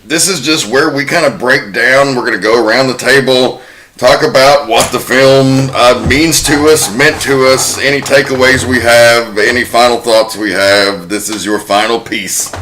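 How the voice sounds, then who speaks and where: A man hosting a podcast in casual chat, telling stories in a lively, profane way. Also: medium pitch, slight background noise. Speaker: podcast host.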